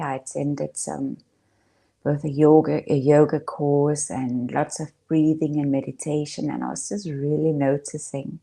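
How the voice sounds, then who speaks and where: Soft breathy lady doing guided meditation, really slow and mellow, hushed w long pauses. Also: low voice. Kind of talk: gentle guided meditation talk.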